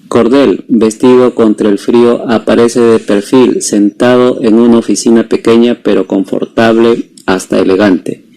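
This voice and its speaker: A man's voice: mid-range voice, warm tone, low-quality recording. A young man, reading scene-setting narration as a student narrator. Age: young adult.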